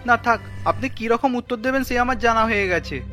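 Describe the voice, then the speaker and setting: A man's voice: extremely high pitch, fairly quick, pushed and bright, soft background music. A man voicing a worried young husband in a cartoon, earnest and pleading as he explains himself. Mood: puzzled, earnest. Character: worried, placating young husband, anxious around his wife. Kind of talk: cartoon story dialogue.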